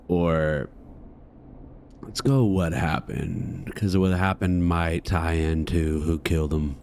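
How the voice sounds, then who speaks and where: A man's voice: low-pitched, takes it slowly, creaky, long pauses, darkish tone, slight background noise. A man giving casual gameplay commentary, slangy and musing to himself as he reacts. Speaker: game streamer.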